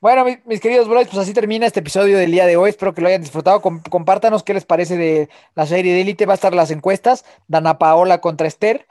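A man's voice: high-pitched, quick pace, few pauses, a little mellow, no echo, studio quality. A man with a Mexican accent hosting a podcast, teasing his co-hosts and swearing freely in casual banter. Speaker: podcast host. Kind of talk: casual chat.

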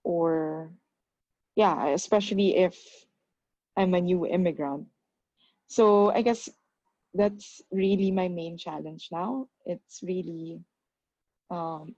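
Woman, Filipino accent, slow pace, low pitch, hesitant, slightly muffled, dry. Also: mellow, dry recording. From Philippines. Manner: hesitant, many "um" pauses, mixes in Tagalog. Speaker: new immigrant. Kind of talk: casual conversation.

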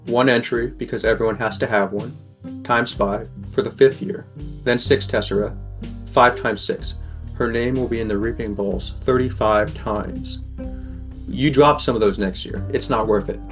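A man's voice: medium pitch, fairly even intonation, moderate pace, faint music behind, natural voice. A man playing a wry, protective sixteen-year-old boy from a poor district, narrating a scripted audio drama in the first person. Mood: uneasy.

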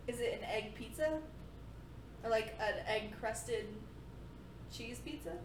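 A woman's voice: on the high side, takes it slowly, distant mic. A woman co-hosting a podcast in casual chat, teasing and playful. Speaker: podcast co-host. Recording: echoey room, off-mic, faint noise.

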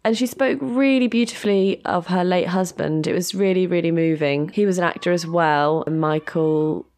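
A woman's voice: fairly low pitch, moderate pace, darkish tone. A British woman co-hosting a podcast, chatting casually in an effusive, gushing way. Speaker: podcast co-host.

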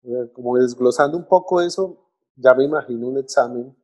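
A man, co-hosting a casual talk about language teaching, halting with restarts and fillers but getting lively when he argues. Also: mid-range voice. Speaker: co-host discussing language teaching. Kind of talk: casual podcast chat.

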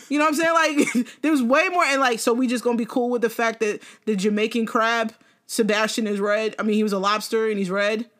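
A young woman co-hosting a podcast in casual chat, animated, laughing often and swearing freely. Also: fairly high pitch, a little fast. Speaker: podcast co-host.

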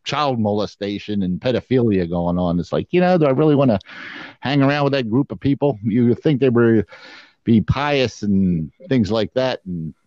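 A man's voice: medium pitch, moderate pace, warm tone, no echo. Laid-back storytelling man, dry dull sound. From Philadelphia area, USA. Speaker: podcast co-host. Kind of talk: casual chat.

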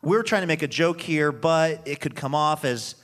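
A man's voice: a little high, moderate pace, fairly bright tone. A man hosting a radio show, interviewing conversationally on a live stage. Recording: live theater with audience behind. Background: audience.

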